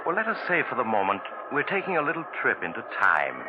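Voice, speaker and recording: A man playing a sinister traveler who narrates tales of terror, speaking slowly and ominously in a 1940s radio drama. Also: mid-range voice, huge pitch swings. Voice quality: slow, ominous, theatrical delivery. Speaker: narrator and host. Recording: hissy, muffled old radio broadcast.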